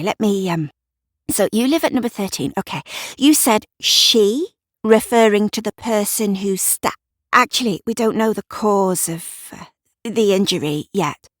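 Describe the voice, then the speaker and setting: A woman acts out a flustered female police sergeant in an audio drama. She is apologetic and halting, tripping over her sentences and starting again. The sound is close and dry. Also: medium pitch, dry recording, pitched above the performer's own voice. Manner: halting, stammering, apologising and restarting.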